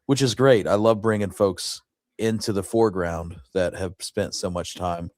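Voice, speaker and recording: A man's voice: sits low, steady tone, normal speed, a little mellow, no echo, studio quality. A man hosting a casual talk show, speaking in an informal conversational way. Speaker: show host. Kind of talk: casual chat.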